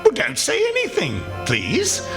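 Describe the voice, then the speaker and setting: A man acting an excitable, theatrical old miser in a live radio play, exclaiming and repeating his phrases. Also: very high-pitched, a little slow, gravelly, over music, full character voice. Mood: jovial, generous. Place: stage before a live audience.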